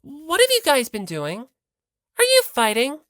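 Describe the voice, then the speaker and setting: A man's voice: very high voice, wildly swooping pitch, a little slow, fairly bright tone, no room sound, studio quality, voice pushed up, heavily acted. A man voicing an exasperated, scolding teenage girl in an audiobook. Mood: shocked, scolding.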